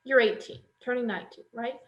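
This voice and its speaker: A woman's voice: a little high, a little fast, fairly bright tone, lo-fi sound, close to an everyday voice. A young woman on stage playing a protective, confrontational, doting middle-aged mother in a student play. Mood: indignant, scolding. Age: young adult. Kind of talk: stage acting in a student one-act play. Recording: echoey stage room.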